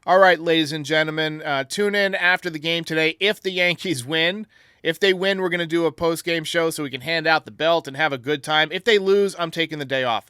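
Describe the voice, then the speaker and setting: A man with a bright, forceful voice makes his case with emphasis and conviction as he answers fan voicemails. His voice sits a bit higher than most men's. The sound is close and dry, with no room around it. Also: brisk, clear, resonant tone, dry recording. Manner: emphatic, argumentative. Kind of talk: casual opinionated sports talk.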